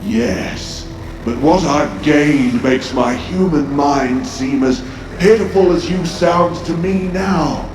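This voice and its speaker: A man's voice: a little high, takes it slowly. An actor playing a menacing possessed man merged with an alien horror, taunting and grandiose, in a sci-fi horror audio drama. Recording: roomy echo over music.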